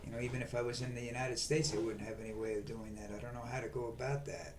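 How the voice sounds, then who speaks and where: Older man, monotone, halting, lots of fillers, vlog monologue, faint background hiss. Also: mid-range voice. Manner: halting, many fillers and restarts. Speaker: vlog host. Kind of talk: rambling monologue.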